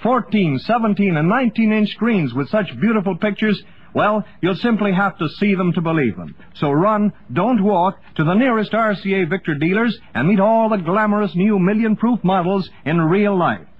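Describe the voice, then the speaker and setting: High-pitched 1950s American radio announcer man. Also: animated, moderate pace, slightly rough. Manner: polished, promotional delivery. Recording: dull 1950s radio recording.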